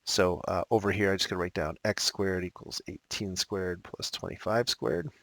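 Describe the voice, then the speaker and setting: Low-voiced man explaining math steps. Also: moderate pace, creaky, fairly bright tone, no room sound. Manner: casual, thinks aloud, corrects himself. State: slightly raspy from talking. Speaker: math teacher. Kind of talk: recorded math lesson walkthrough.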